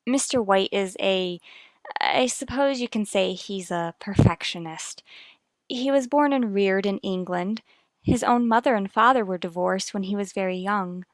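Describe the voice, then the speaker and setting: A woman's voice: medium pitch, measured pace, no room sound, lightly acted. An actress playing a worried, earnest young mother in a vintage radio soap opera, confiding in a careful, hesitant way. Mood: troubled, confiding.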